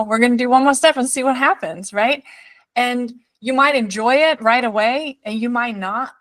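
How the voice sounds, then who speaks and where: A woman's voice: on the high side, no room sound. Rambling woman podcast host. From Denmark. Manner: rambling, many fillers and restarts, warmly appreciative. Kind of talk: podcast interview.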